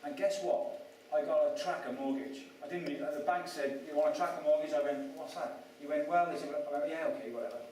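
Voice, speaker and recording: A man's voice: on the high side, a little fast, few pauses, a little mellow. A Welsh-raised man giving a chatty, self-mocking talk to a live audience and asking them questions. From Wales. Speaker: speaker at a property event. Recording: echoey room, mic at a distance.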